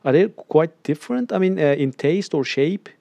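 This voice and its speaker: A man's voice: on the high side, animated, normal speed, vocal fry, warm tone, no room sound. A man interviewing in a casual chat, friendly, laughing often and hesitating with fillers as he asks his questions.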